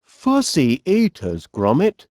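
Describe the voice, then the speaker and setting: A man's voice: fairly high pitch, wildly swooping pitch, slow, a little mellow, no echo, studio quality, full character voice. A man playing a cheerful middle-aged eccentric inventor in a cartoon video game, with a Northern English accent and a quaintly polite, dithering manner. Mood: mildly puzzled.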